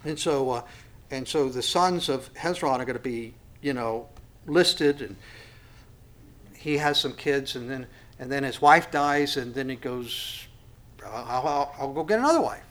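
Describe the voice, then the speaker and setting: A man's voice: medium pitch, expressive, unhurried, fairly bright tone. A man in his sixties teaching a Bible study in a folksy, self-deprecating way, with many fillers and restarts. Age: 60s. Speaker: pastor.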